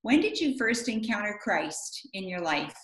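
A woman's voice: mid-range voice, sing-song, measured pace, fairly bright tone, echoey room, rough recording. A woman hosting a faith interview, asking questions and affirming her guest's answers. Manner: encouraging, affirming. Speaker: interviewer, host.

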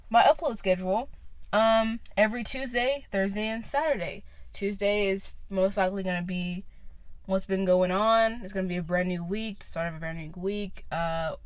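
A woman in her late teens hosting her own podcast, talking in a chatty, rambling way with lots of fillers and sudden high-pitched exclamations, recorded inside a car. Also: no room sound. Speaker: podcast host. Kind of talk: casual solo podcast chat.

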